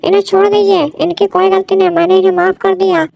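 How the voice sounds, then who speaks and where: A man's voice: very high-pitched, little pitch movement, fast, creaky, a little mellow, no room sound, voice pushed up, exaggerated voice, low-quality recording. A man voicing an earnest, forgiving young village girl in a cartoon story. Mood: pleading.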